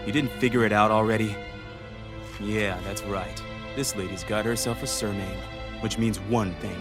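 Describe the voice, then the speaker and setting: An actor playing a hot-headed, bitter rebel fighter in a game, sneering and confrontational. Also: male voice, lowish voice, fairly even intonation, normal speed, close to an everyday voice. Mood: sneering, accusing. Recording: background music under voice.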